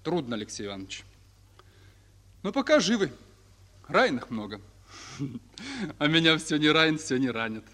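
An actor playing a chatty young soldier devoted to his captain in a Soviet radio drama, animated and plain-spoken. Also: a little high, moderate pace, long pauses. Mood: affectionate, rueful.

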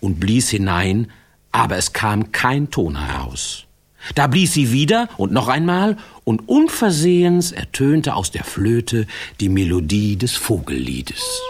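An actor playing a quirky fairy-tale storyteller in a children's radio play, rambling and talking straight to the listener in a whimsical way. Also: male voice, lowish voice, very animated, a little slow. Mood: storytelling. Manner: whimsical, digressive, addressing the listener directly.

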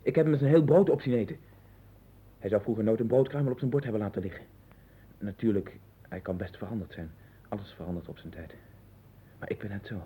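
A man's voice: lowish voice, fast, breathy edge. An actor playing a restless, obsessive man in a 1960s Dutch radio play, with a Netherlands accent and a rambling, repetitive, insistent delivery. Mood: brooding. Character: restless, obsessive man sinking into a strange inner world. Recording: tinny band-limited 1960s radio recording.

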